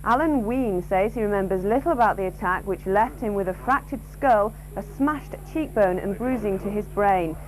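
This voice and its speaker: A woman's voice: mid-range voice, normal speed, few pauses. Female newsreader on a faintly noisy broadcast. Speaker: regional newsreader. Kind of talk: scripted news bulletin reading.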